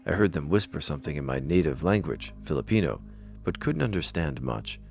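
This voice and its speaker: A man's voice: extremely low pitch, expressive, brisk, creaky, a little mellow. A man narrating first-person scary stories from a script as a storyteller. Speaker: narrator reading online stories aloud.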